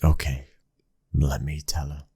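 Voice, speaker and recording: A British man acting a protective, devoted young mafia husband in an intimate ASMR boyfriend roleplay, his breathy voice slipping into whispers. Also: little pitch movement, a little slow, dark tone, dry recording, clean studio sound, heavily acted. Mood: stern, exasperated. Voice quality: very deep, breathy, husky.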